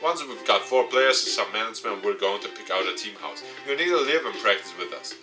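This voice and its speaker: A man's voice: lowish voice, animated, brisk, natural voice. A man playing a dry, matter-of-fact esports team recruiter in a visual novel. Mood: businesslike. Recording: game music behind the voice.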